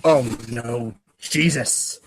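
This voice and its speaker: A man's voice: mid-range voice, very slow, a little hiss or hum, voice pushed down, rough recording. A man reading the part of an exasperated, sarcastic, foul-mouthed teenage boy in a comic script reading, ranting wordily.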